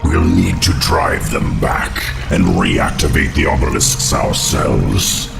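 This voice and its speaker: An actor playing a huge ancient undead spider lord, giving measured tactical briefings. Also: male voice, animated, heavily acted. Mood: grave. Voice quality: deep and gravelly. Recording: echoey cinematic voice with score. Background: orchestral music.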